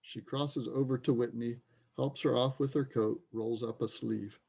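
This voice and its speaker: Creaky, mellow male narrator. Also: medium pitch, fairly even intonation, normal speed. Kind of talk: reading stage directions, scripted narration.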